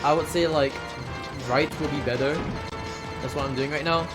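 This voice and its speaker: A man's voice: a little high, steady tone, normal speed, poor audio. A man giving casual, chatty live commentary while playing a video game as a speedrunner. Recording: game music behind the voice. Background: video game music.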